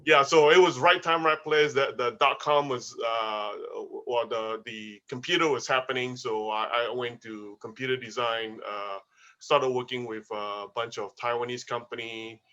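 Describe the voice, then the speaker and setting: A man's voice: mid-range voice, steady tone, normal speed, sharp tone, dull audio. A man in his fifties from Malaysia, talking about his life as an interview guest with many small restarts. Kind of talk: casual interview.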